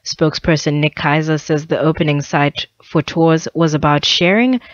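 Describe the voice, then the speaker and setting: A woman's voice: deep voice, steady tone, normal speed, no echo, highs cut off. A woman reading a scripted radio news bulletin, occasionally stumbling over phrases. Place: dry radio studio.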